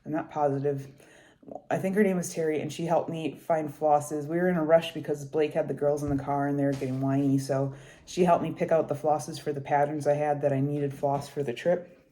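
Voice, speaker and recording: Young woman, congested, low mellow voice, casual rambling vlog chat at a kitchen table. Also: steady tone, brisk. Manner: rambling, conversational, hushed while kids nap. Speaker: cross-stitch vlogger. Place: kitchen table of a rental house.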